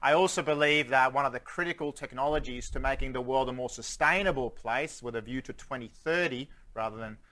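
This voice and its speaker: A man's voice: medium pitch, a little fast, hardly any pauses, pushed and bright. A man from Sweden with a Swedish-Australian accent, giving a conference keynote as a futurist, joking with the audience and asking them questions. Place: conference stage before a live audience.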